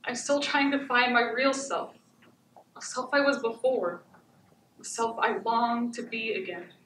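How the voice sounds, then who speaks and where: A young woman playing a wounded but resolute survivor of domestic abuse in a dramatic stage monologue, with deliberate, declamatory phrasing. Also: fairly high pitch, steady tone, a bit bright. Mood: somber, resolute. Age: young adult. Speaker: high school stage actor. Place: indoor theatre stage, far camera. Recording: echoey theatre, distant mic, faint noise.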